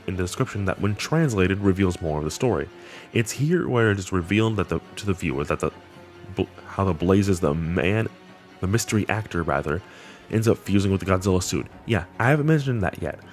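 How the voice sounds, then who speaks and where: A man with a fairly low voice hosts a casual discussion of a horror series. He talks in a slangy, enthusiastic way, with light music underneath. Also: animated, fairly quick. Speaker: video host. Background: background music.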